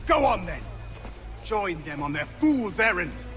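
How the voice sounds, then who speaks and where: Game villain: scornful officer, raised pitch, wide swings, imperious, loud music behind. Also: male voice, big pitch swings, unhurried. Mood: furious. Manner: imperious, theatrical. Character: proud, scornful British military superior, quick to wrath. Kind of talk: video game dramatic acting.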